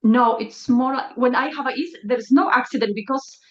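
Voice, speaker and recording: A woman speaking English as a second language in a group call, halting and reflective, searching for words. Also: a little high. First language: not English. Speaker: session participant. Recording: echoey lo-fi call audio.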